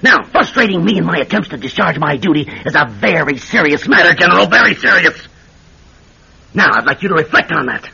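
An actor playing a pompous, bullying senior senator with a raspy, blustering delivery in a classic radio drama. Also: male voice, fairly high pitch, expressive, brisk, pushed and bright, exaggerated voice. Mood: indignant, threatening. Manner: blustering, imperious. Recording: dull old radio drama recording.

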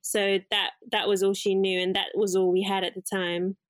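A woman's voice: mid-range voice, flat delivery, moderate pace, no room sound. A young woman from England, a guest talking about her life in a casual interview, reflective and rambling with fillers and self-corrections. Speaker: guest, curly hair salon owner.

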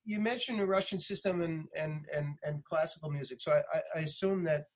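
A man hosting a casual interview, feeling his way into questions haltingly and repeating words. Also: fairly high pitch. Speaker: interviewer, host. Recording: faint background noise.

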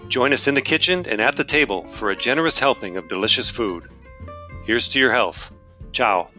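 Bright-voiced man announcing a podcast intro. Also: mid-range voice, expressive, moderate pace. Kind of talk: scripted podcast intro over music. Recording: guitar music underneath.

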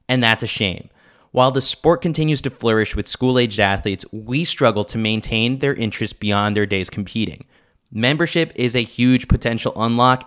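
Male host, lower register, scripted narration of a sports opinion newsletter. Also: fairly bright tone. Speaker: podcast host reading a newsletter.

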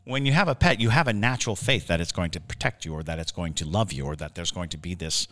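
Very low-voiced man explaining thoughtfully. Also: expressive, fast, sharp tone. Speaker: podcast co-host. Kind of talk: casual explanatory chat.

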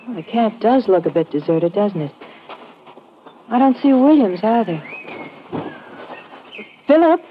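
A woman playing an expressive, genteel radio-drama wife. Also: medium pitch, measured pace, pauses often, lightly acted. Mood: polite, uneasy. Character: doctor's genteel wife on an expedition, anxious. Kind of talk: 1950s radio drama acting. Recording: muffled old radio recording, faint noise.

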